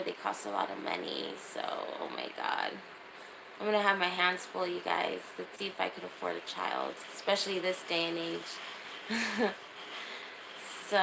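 A woman's voice: medium pitch, creaky, background noise. A woman in her thirties chatting casually and informally as she hosts a livestream from a small room, holding her phone at arm's length. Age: 30s. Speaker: livestream host. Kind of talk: casual livestream chat, reading and answering comments.

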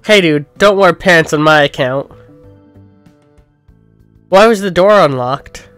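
A goofy young cat girl with a smooth, clear voice, pitched up a little, as a man voices her. She talks slowly and loosely, in a dry, close recording with faint game music behind. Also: fairly bright tone, no echo. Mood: casual. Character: restless young female cat, blunt and goofy. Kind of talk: let's play voice acting.

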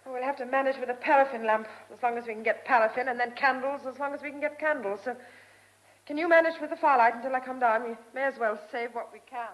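A country woman, played by an actress with a high, fast voice. She is anxious yet practical and hospitable, with earnest hesitations, as in a radio play. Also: steady tone, dull audio, natural voice. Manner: hesitant, earnest, repeats words. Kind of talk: radio drama acting.

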